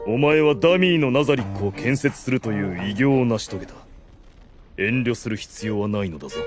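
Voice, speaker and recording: A male actor playing a solemn, benevolent undead ruler in an anime audio drama, speaking in grand, formal, measured phrases. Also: mid-range voice, animated, over music, lightly acted. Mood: earnest, magnanimous.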